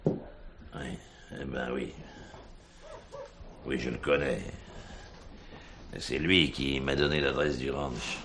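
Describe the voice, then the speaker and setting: Cornered suspect, very low voice, hesitant, defensive, self-justifying, faint noise, 1970s crime drama. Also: male voice, fairly even intonation, slow, pauses often, a bit bright, full character voice. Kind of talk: French 1970s police drama acting.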